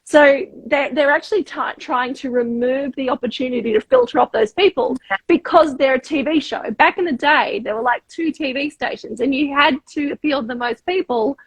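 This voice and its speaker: A woman's voice: high-pitched, normal speed, no echo, rough recording. A woman with a broad Australian accent, hosting a podcast and often tagging her points with "right?". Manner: emphatic, often tags "right?". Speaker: podcast host, media coach. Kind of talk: casual podcast chat.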